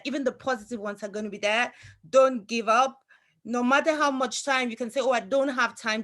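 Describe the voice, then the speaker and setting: A woman's voice: on the high side, fairly bright tone. A woman speaking on a video call as a student guest, giving an enthusiastic, earnest testimonial. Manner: enthusiastic, earnest, somewhat halting.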